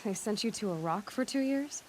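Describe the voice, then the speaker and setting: Woman acting as a wary young huntress in a game, blunt and dry, medium pitch, faint noise behind. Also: animated, moderate pace, darkish tone, lightly acted. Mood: dryly curious. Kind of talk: video game dramatic acting.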